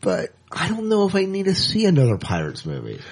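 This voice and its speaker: A man co-hosting a podcast, chatting casually and in an animated way about movie news. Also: high voice, fairly quick, slight background noise. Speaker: podcast co-host.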